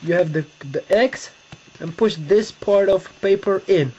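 A young man from Romania with a Romanian accent, Romanian as his first language, giving a halting step-by-step folding demonstration and searching for words, sitting on a bed in his bedroom and recorded on a webcam. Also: sits high, warm tone. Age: young adult. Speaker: tutorial maker. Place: bedroom, seated on a bed indoors. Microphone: webcam close-up. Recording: dull lo-fi webcam audio with faint hiss.

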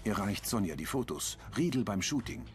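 Calm male audio-description narrator. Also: on the low side, moderate pace, background noise. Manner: calm, matter-of-fact.

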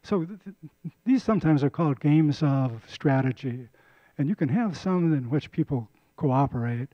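A man's voice: fairly high pitch, expressive, normal speed, mellow, no echo, highs cut off. An older man giving a casual community lecture on a handheld mic, often repeating and restarting words. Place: lecture room.

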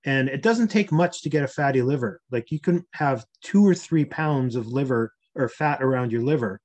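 A man of sixty-one explaining things conversationally, in a measured, hesitant way, as a podcast guest on a video call. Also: mid-range voice, animated, darkish tone. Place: room indoors. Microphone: computer mic on video call.

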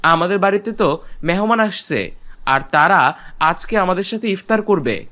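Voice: mid-range voice, lively intonation, moderate pace, pushed and bright. A performer playing a polite, earnest and eager village boy in a cartoon. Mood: excited. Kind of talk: cartoon voice acting.

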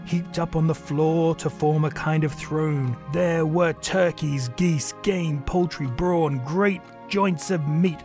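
A man narrating a scripted story in a measured, theatrical storytelling manner. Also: a little high, fairly even intonation, a little mellow. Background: music.

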